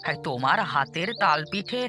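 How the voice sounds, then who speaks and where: An actor voicing a kindly, wronged old village grandfather in a children's cartoon, with drawn-out emotive delivery. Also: mid-range voice, expressive, music in the background, lightly acted. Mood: pleased, grateful. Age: older. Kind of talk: Bengali children's cartoon voice acting.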